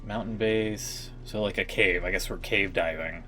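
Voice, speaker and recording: A man's voice: on the low side, steady tone, moderate pace, bright, forceful tone, faint music behind. A man streaming live, reacting to the story in loose, joking chat and thinking aloud with fillers. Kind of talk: casual live-stream commentary.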